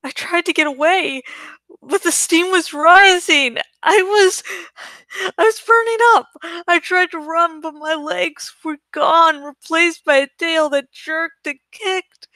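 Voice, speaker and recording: A woman reading a first-person novel aloud in an expressive, dramatic way. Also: very high-pitched, slow pace, dry recording. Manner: expressive, acts out the story. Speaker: audiobook reader.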